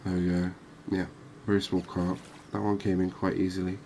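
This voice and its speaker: A man giving quiet, cozy ASMR commentary on a game, unhurried and thinking aloud. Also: sits low, completely flat delivery, vocal fry, a little hiss or hum. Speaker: gaming video host.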